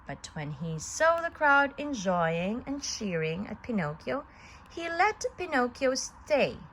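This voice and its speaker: A woman reads a children's story aloud. She is deliberate and slow, with lively swings in pitch, and there is slight ambient noise in the recording. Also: low-quality recording.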